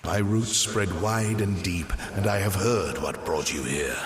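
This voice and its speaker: Ancient, very deep-voiced giant tree. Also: male voice, unhurried, in a large hall, slight background noise. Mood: solemn, watchful. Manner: solemn, cryptic, stately phrasing. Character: ancient giant talking tree, wise, grave guardian. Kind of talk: scripted fantasy game dialogue.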